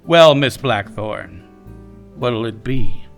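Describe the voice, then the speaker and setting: A man's voice: medium pitch, expressive, slow pace, soft background music. A man playing a smug, menacing crime boss in a noir radio drama, condescending and mock-polite.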